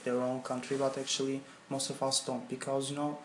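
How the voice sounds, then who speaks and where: Young man, Turkish accent, non-native English, halting interview answers, roomy echo. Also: mid-range voice, completely flat delivery. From Turkey. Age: young adult. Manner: halting, searching for words in English. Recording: echoey room with faint ambient noise.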